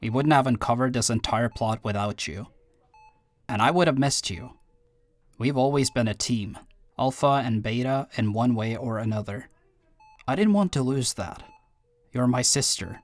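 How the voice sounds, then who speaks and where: A man playing a guilty, devoted brother and werewolf clan second in an audio roleplay drama, earnest and halting as he speaks. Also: medium pitch, dry recording, natural voice. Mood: pleading, earnest.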